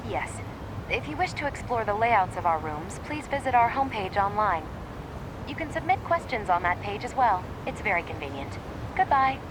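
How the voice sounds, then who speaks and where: A woman's voice: mid-range voice, very animated, moderate pace, noisy background, close to an everyday voice. Formal, unyielding receptionist played by a woman. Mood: polite, firm. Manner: formal, courteous, scripted-sounding. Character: polite but unyielding female front-desk receptionist. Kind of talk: English game dub acting.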